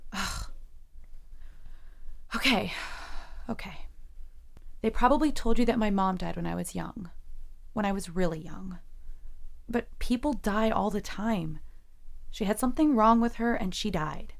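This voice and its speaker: A woman's voice: medium pitch, unhurried, vocal fry, pauses often. An actress playing a troubled, defensive female college student in an audio drama, insistent and emphatic. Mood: reluctant, troubled.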